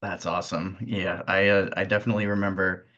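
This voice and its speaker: A man's voice: deep voice, level tone, fairly quick, a bit bright. A man hosting a casual interview with hesitant phrasing, on slightly muffled audio. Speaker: interviewer, host.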